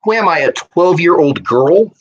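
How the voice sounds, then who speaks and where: A man's voice: a little high, expressive, normal speed, highs cut off. A man voicing a joking, offhand young boyfriend in a live story reading, bantering in a casual, flippant way.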